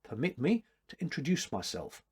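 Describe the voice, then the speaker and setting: A man's voice: fairly high pitch, huge pitch swings, normal speed, a little mellow, breathy edge, exaggerated voice. A man voicing a stately older woman in a storybook, blunt and teasing, with formal, arch wit. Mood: amused, stately.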